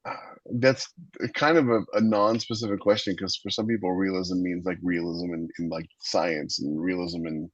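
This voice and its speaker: A man's voice: deep voice, steady tone, moderate pace, no echo. A man co-hosting a podcast in a casual, conversational way, on a dull lo-fi recording. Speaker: podcast co-host and fiction writer. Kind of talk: casual panel chat.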